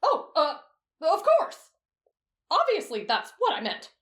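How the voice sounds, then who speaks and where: A woman doing shrill excitable Zenitsu. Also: slow, full character voice. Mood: flustered. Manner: shrill outbursts. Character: excitable girl-crazy teenage boy, whiny. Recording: roomy echo.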